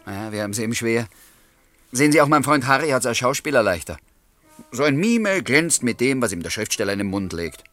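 A man's voice: medium pitch, animated, brisk, a bit bright, dry recording, faint music behind, close to an everyday voice. An actor playing a wry, courteous male writer who narrates and talks in a German radio play. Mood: mock indignant.

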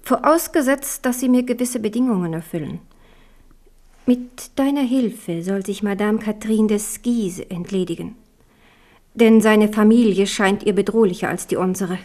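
An actress playing a devout, resolute queen and mother in a 1970s radio drama, speaking gravely and softly. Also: medium pitch, normal speed, lightly acted.

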